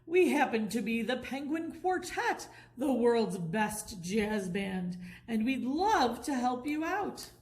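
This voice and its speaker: A woman's voice: on the high side, very animated, slow pace, hardly any pauses, a little mellow. Woman as an eager, confident penguin bandleader. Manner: upbeat, exclaiming.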